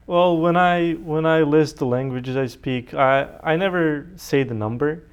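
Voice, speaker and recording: A man's voice: fairly high pitch, a little slow, clean tone, lo-fi sound. A young man from Serbia, a native Serbian speaker, answering interview questions conversationally as a college student guest. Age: young adult.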